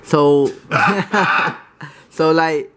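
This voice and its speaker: A man's voice: a little high, drawn-out words, bright, forceful tone. A man, interviewing casually and informally, checking back with tag questions. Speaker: interviewer and vlogger.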